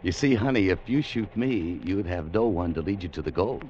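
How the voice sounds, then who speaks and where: An actor playing a cool, tough American adventurer, sardonic and slangy, in a 1940s radio drama. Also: male voice, sits low, moderate pace, darkish tone, close to an everyday voice. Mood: placating. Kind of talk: 1940s radio drama acting, first-person narration. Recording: muffled, noisy vintage radio recording.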